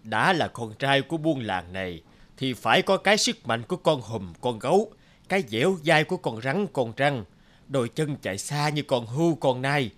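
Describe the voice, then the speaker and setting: A man's voice: mid-range voice, animated, normal speed, close to an everyday voice. A man voicing a wise old village elder. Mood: solemn. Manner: solemn, ceremonial speech. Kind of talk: cartoon voice acting. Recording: voice over loud music.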